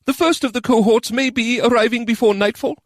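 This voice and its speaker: A man voicing a nervous male officer who reports bad news too loudly and in a strained way. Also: very high-pitched, fairly even intonation, a little fast, no room sound, clean studio sound, voice pushed up. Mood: uneasy. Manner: loud and strained, avoids the point.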